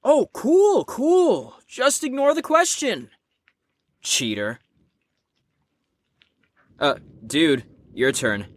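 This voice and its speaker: A woman's voice: mid-range voice, huge pitch swings, extremely slow, pauses often, dry recording, full character voice. A woman playing a brash, rebellious young slacker in an audio drama, teasing and sarcastic in slangy speech.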